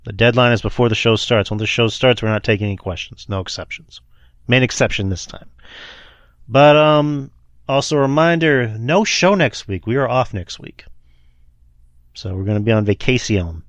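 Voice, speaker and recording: A man's voice: mid-range voice, moderate pace, no room sound, lo-fi sound. A man co-hosting a podcast Q&A in easygoing chat, joking and rambling with many fillers. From Texas. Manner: joking, loose, full of fillers. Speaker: podcast co-host.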